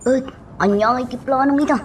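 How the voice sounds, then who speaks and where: An actress playing a chatty, teasing household confidante in an Ilocano radio drama. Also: female voice, high-pitched, fast talker, a little hiss or hum, lightly acted. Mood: concerned.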